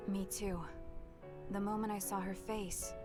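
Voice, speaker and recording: A woman playing a reserved, caring young female fighter in a video game, speaking in a measured, matter-of-fact way. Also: medium pitch, little pitch movement, natural voice. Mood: quietly reflective. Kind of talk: English video game cutscene voice acting. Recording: game audio with background music.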